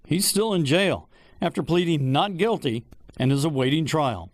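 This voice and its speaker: An American man narrating a scripted TV news report as an investigative reporter, in a measured, deliberate broadcast delivery. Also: on the high side, lively intonation, dry recording.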